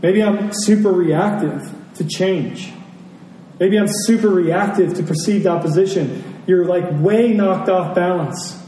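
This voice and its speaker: Higher-pitched American man preaching, roomy sound. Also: unhurried, warm tone. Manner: reflective, repeats phrases for emphasis. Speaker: pastor. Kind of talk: conversational sermon to a congregation. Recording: roomy, distant mic, faint noise.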